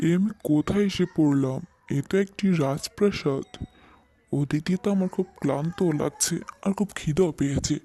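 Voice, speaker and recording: A man's voice: fairly high pitch, normal speed, warm tone, close to an everyday voice. A man voicing a kindly, weary middle-aged father in a cartoon fairy tale, affectionate and plaintive. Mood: weary wonder.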